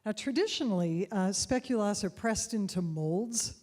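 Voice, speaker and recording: A middle-aged woman tells a personal story on stage to a live audience, unhurried and conversational with soft laughs. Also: mid-range voice, expressive. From United States.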